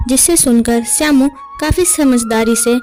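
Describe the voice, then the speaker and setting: A woman's voice: high voice, normal speed, darkish tone, faint music behind. A woman narrator reading a scripted moral story in a bedtime tale style.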